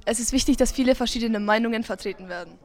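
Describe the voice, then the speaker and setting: Teenage girl reading, slightly high voice. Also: quick pace, darkish tone. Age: teens. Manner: reads script lines. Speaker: student podcast speaker. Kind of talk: scripted school podcast.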